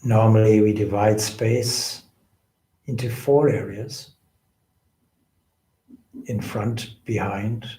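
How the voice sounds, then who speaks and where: A man leading a guided meditation as a spiritual teacher, with deliberate, measured phrasing and pauses. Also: on the low side, fairly even intonation, dark tone. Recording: echoey room.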